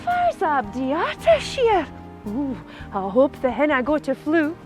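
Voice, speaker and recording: Pantomime fairy godmother, North-East Scottish Doric accent, very high voice, slow theatrical rhyming, exaggerated, excitable. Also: music in the background, exaggerated voice. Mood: eager. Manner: exaggerated, theatrical, addressing children. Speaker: pantomime performer.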